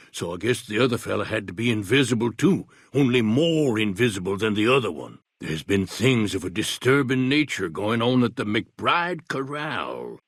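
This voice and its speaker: A paranoid, rambling old wasteland eccentric for a video game, with a folksy backwoods drawl. His husky voice leaps around in pitch, from hushed conspiratorial whispers up to alarmed yelps. The studio recording is close and dry. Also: dry recording, heavily acted. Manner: suspicious, conspiratorial, jumps between whispers and outbursts.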